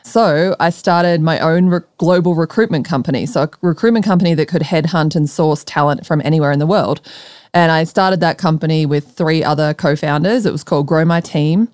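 Aussie woman chatting on a podcast, smooth clear voice, really dry close sound. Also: deep voice, fairly quick, darkish tone, dry recording. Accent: Australian. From Melbourne, Australia. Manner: laughs easily, informal. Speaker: podcast guest, startup founder. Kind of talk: conversational interview.

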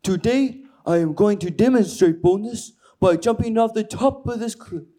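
A man's voice: high voice, normal speed, mellow, lightly acted. A man voicing a foolhardy, self-styled daredevil puppet with naive bravado in a children's puppet show. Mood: grandly bold.

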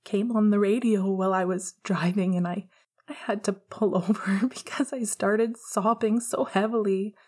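A tender young man holding back tears, his words coming soft and breathy in a near-whisper, as a woman reads him aloud. He speaks with a gentle, aching closeness. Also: mid-range voice, steady tone, slow pace. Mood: tender, choked up.

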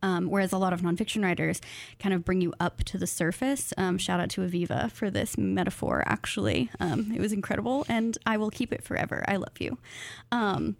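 A woman speaking as a guest writer in a casual interview, thoughtful and self-deprecating, quick to laugh. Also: medium pitch, lively intonation, creaky, no echo, clean studio sound. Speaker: guest writer, graduate student of creative nonfiction.

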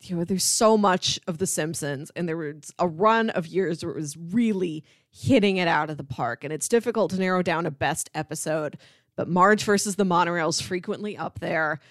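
Female podcast co-host, slightly low register, articulate and keen, conversational, dry close sound. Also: moderate pace, no room sound. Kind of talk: casual chat about sitcoms.

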